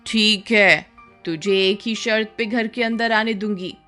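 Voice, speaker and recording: A woman's voice: medium pitch, steady tone, a little slow, pushed and bright, soft background music. A woman playing a cruel, greedy older village mother-in-law in a cartoon story, commanding and sarcastic. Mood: scolding, demanding. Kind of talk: Hindi animated horror story acting.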